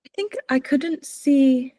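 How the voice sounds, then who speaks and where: A woman's voice: high voice, slow pace, dark tone, no room sound. A woman in her twenties from Wales, giving candid, hesitant interview answers full of fillers and restarts. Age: 20s. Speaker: political candidate.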